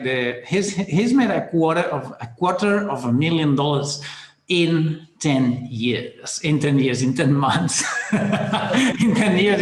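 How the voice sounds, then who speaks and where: A man hosting a live video call, upbeat and friendly, calling his guest brother and man. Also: fairly high pitch. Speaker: host. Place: indoors, large room with staircase. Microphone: laptop webcam video call. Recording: roomy webcam audio.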